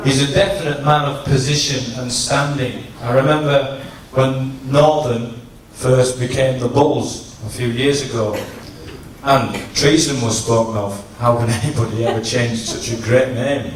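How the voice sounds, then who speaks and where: A man giving a humorous after-dinner tribute speech to an audience, in a teasing, deliberate storytelling manner. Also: medium pitch, steady tone. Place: large function room at a dinner, distant microphone. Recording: echoey noisy hall, from far off.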